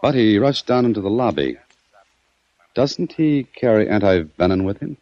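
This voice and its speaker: A man's voice: lowish voice, very animated, moderate pace, mellow, no echo. An actor playing a shrewd, cool-headed investigator in an old-time radio crime drama, commanding and sardonic as he needles his suspect. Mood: coolly accusing. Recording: dull vintage radio recording.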